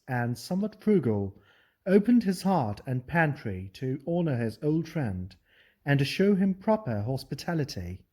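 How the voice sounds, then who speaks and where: Slow, lively fable narrator. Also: medium pitch, husky. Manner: deliberate, expressive storytelling. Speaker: storyteller, audiobook narrator.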